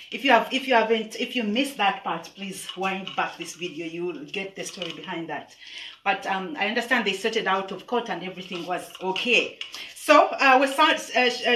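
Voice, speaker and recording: A bright-voiced woman hosts a relaxed music livestream, talking loosely with lots of "uh" and "um" and restarting her sentences. She sits back from the mic in a roomy space with a faint hiss behind her. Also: medium pitch, recorded from a distance. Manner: chatty, hesitant with many fillers. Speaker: host. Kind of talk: casual livestream music show. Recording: echoey room, off-mic, faint noise.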